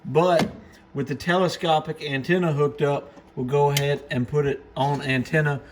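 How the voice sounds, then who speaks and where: A man hosting a casual unboxing and gear demonstration, talking in a low-key, plain-spoken, understated way. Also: steady tone, normal speed. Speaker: host of a radio gear review channel. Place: indoor workbench in a radio shop. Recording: clearly noisy room recording.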